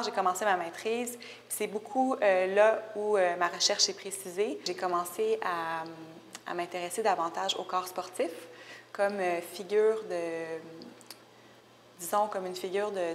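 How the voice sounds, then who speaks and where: A young woman from Rimouski with a Québécois accent, a visual artist explaining her work in a thoughtful, searching way. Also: on the low side. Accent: Québécois French. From Rimouski, Quebec. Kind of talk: casual interview about her work. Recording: long reverb, faint background noise.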